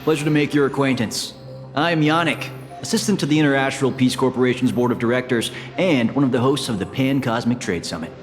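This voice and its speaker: An actor playing a polite, formal corporate assistant and host in a video game, speaking with measured courtesy. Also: male voice, mid-range voice, natural voice. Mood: courteous, composed. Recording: long hall reverb, music underneath.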